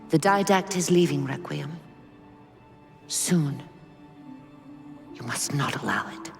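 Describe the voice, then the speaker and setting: Low, solemn ancient alien spirit. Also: female voice, expressive, full character voice. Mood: grave. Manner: stately, measured, formal. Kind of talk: solemn video game cutscene acting. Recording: clear music behind.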